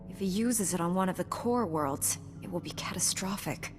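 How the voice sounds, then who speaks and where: A woman's voice: lowish voice, moderate pace, soft background music, natural voice. A woman playing a resolute, heroic Jedi knight in a sci-fi video game, firm and composed. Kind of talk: dramatic video game acting.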